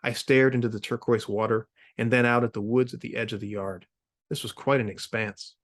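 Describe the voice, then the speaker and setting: A male author at an online literary reading. He presents his own short fiction in a middle-pitched voice. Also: fairly even intonation, brisk. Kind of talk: informal spoken introduction, then literary reading. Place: remote video reading series.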